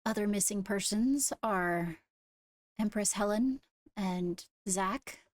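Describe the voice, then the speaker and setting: A woman playing a composed court leader in a Minecraft roleplay, speaking formally and haltingly as she gives a briefing. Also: medium pitch, no room sound, close to an everyday voice. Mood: measured, serious. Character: measured female court leader briefing on attacks. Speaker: roleplayer leading a court meeting.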